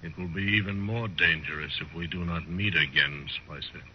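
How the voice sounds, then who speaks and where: An actor playing a stern, distrustful Cheyenne man in a Western radio drama, speaking terse, stilted English with quiet menace. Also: low voice, moderate pace, natural voice. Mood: grim, menacing. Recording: very noisy, muffled old radio recording.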